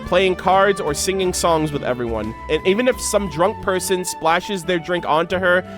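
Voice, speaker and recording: A man's voice: sits high, moderate pace, music in the background. A man doing casual reaction commentary and reading game lore aloud, as an enthusiastic fan who uses slang. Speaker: gaming reaction video creator.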